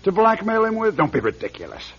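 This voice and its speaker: An actor playing a blunt, cynical older executive who talks down to people in a radio drama. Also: male voice, high voice, huge pitch swings, fast, fairly bright tone, husky, full character voice. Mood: dismissive. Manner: brash, patronizing. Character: blunt, cynical older former company president. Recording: dull lo-fi old radio recording.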